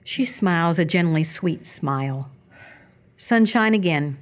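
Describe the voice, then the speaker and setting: A woman narrating a live radio theatre script, reading stage directions in a measured, descriptive way. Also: fairly low pitch, expressive, slight background noise.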